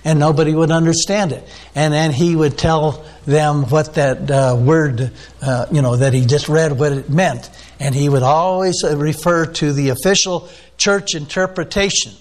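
A man's voice: a little high, fairly even intonation, normal speed. A man preaching a sermon, teaching off the cuff with many "uh"s and questions to the listeners. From United States. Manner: unscripted teaching with frequent fillers, asks the listeners questions. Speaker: pastor.

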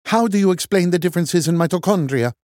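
A man's voice: high voice, quick pace, darkish tone, no room sound, clean studio sound, voice pushed up, natural voice. Man voicing a scornful Norwegian woman scientist. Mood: argumentative. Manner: condescending, dismissive.

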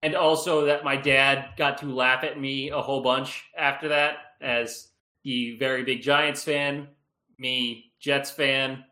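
A man's voice: mid-range voice, little pitch movement, slow, pushed and bright, roomy sound. A man in a casual podcast chat who is wry and self-deprecating. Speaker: podcast co-host.

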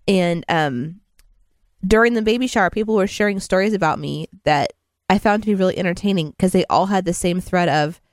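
A young woman co-hosting a podcast, slightly hoarse from a cold, chatting in a silly, self-mocking way and giggling often. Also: on the low side, brisk, no echo, clean studio sound. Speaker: podcast co-host. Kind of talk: casual chat.

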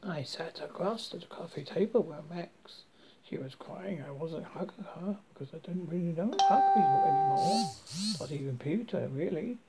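A man with a husky, creaky voice reading a first-person story dramatically, haltingly and stumbling over words as a horror host. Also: a little high, lively intonation, dull audio, noisy background.

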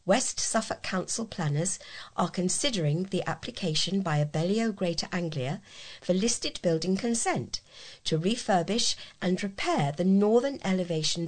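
A woman reads short local news items as a volunteer newsreader. Her voice sits a little lower than average, and she is plainly reading from a script.

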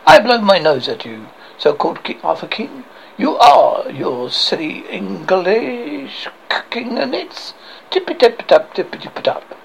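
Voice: mid-range voice, sing-song, measured pace, vocal fry, slight background noise, low-quality recording. A person voicing an insolent, mocking male French castle guard with a French accent, in comic script reading. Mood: taunting. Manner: mocking, contemptuous.

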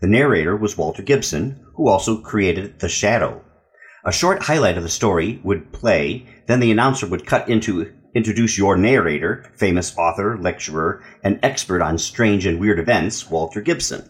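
A man's voice: deep voice, lively intonation, normal speed. A man, a radio co-host reading scripted background information.

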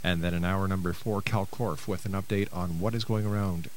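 A man's voice: deep voice, steady tone, brisk, slight background noise. A man hosting a radio talk show, speaking in a chatty, folksy way as he runs through his show opening.

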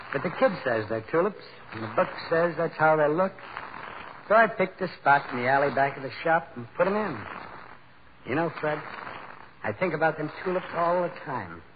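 A man acts out a wistful, plain-talking shoemaker in a vintage radio play. His pitch moves expressively as he muses in folksy, homespun phrases. The old recording is muffled, with clear noise. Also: close to an everyday voice. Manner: folksy, ungrammatical, reflective. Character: plain, wistful New York shoemaker, quietly hopeful. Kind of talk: 1940s radio drama acting.

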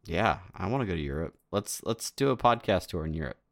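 A man's voice: low-pitched, animated, brisk, gravelly, a bit bright, dry recording, studio quality. A man co-hosting a podcast, teasing his co-host with jokes. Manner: teasing, joking. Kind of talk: casual banter.

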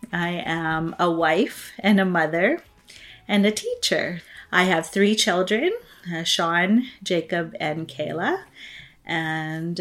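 A woman in her forties from the Philippines, a teacher telling stories in a relaxed interview with frequent laughs. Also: lowish voice. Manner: warm, chatty storytelling with frequent laughs. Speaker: teacher and guest. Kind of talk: casual interview conversation.

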